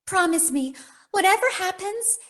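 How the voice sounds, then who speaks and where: A woman's voice: very high voice, lively intonation, normal speed, fairly bright tone. A woman playing a needy but plucky, melodramatic heroine in a spoof B-movie radio drama. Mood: gushing.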